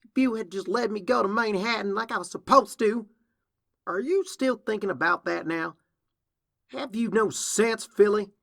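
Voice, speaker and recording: A man's voice: a little high, animated, normal speed, creaky, hardly any pauses, pitched above the performer's own voice. A man voicing a bratty, defiant, whiny young female pony in a petulant, indignant way for a story reading. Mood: angry, indignant.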